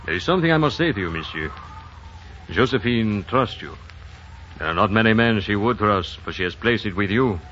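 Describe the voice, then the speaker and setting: A man's voice: on the low side, animated, brisk, fairly bright tone, a little hiss or hum. An actor playing a grave, loyal French-speaking woodsman, formal and solemn in 1940s radio style. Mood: stern warning. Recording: dull 1940s radio recording.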